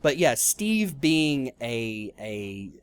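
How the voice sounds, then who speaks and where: A man's voice: medium pitch, very animated, drawn-out words, clean tone. A man co-hosting a casual film review podcast, rambling with lots of fillers and restarts. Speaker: podcast co-host.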